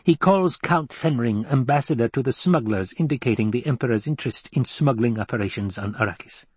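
A man's voice: brisk, husky, no echo, close to an everyday voice. A man voicing a clever, supercilious male adviser in an audiobook, laying out schemes in precise, formal speech. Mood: sly, explanatory. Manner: precise, formal, higher-pitched exposition. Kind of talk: audiobook character acting.

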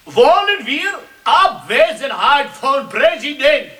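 An actor playing a deliberate comic figure in an academy farce for radio. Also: male voice, extremely high pitch, very animated, sharp tone, exaggerated voice. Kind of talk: farcical radio play acting.

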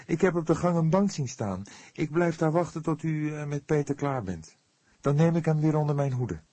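Man voicing a high-pitched, defiant young woman. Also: lively intonation, moderate pace, warm tone, no room sound. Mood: firm. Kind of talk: audiobook character dialogue.

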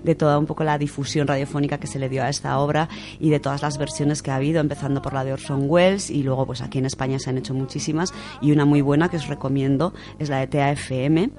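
A man's voice: on the high side, fairly even intonation, quick pace. A man with an accent from Spain co-hosting a radio show in an enthusiastic, casual, chatty way. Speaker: podcast co-host presenting a literature section.

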